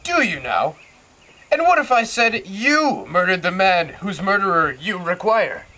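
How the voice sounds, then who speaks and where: Guy doing a stubborn old greek prophet, cryptic and gloomy, high animated voice, some background noise. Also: measured pace, exaggerated voice, rough recording. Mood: defiant. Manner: cryptic, foreboding. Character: stubborn, reluctant, miserable old male prophet. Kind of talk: amateur stage reading of Greek tragedy.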